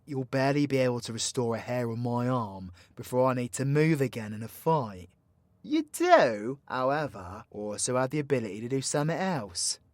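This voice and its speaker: A man's voice: mid-range voice, big pitch swings, normal speed, no echo, lightly acted. A man playing a cocky, foul-mouthed, playfully teasing supervillain mentor in an audio roleplay, with a British accent and a slangy, swaggering, theatrical delivery. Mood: teasing, instructive. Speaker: voice actor. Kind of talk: villain ASMR audio roleplay, addressing the listener.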